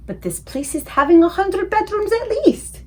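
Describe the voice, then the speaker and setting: Woman voicing a quirky, lively storybook giant, confident. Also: very high voice, moderate pace, pitched above the performer's own voice. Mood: bold, amazed. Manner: folksy ungrammatical speech with invented words. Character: big friendly giant, male, gentle, confident, quirky. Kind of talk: children's book character voice.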